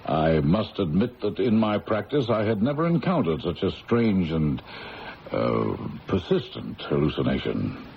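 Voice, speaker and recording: An actor playing a grave, reassuring psychiatrist with measured, formal delivery in a radio mystery drama. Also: male voice, low voice, sing-song, vocal fry, heavily acted. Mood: intrigued, probing. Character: grave, reassuring male psychiatrist, gently authoritative. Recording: muffled, hissy old radio broadcast.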